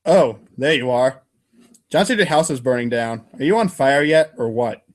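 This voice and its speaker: A man's voice: mid-range voice, lively intonation, moderate pace, lightly acted. Man playing aloof, slangy teenage boy. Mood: feigned cool. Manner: casual, rambling, affectedly cool.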